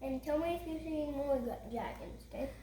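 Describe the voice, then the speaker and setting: A high female voice chatting casually in simple, halting, repetitive phrases, pointing things out. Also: dark tone. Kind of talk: casual, unscripted pointing out of picture details. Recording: roomy echo with background noise.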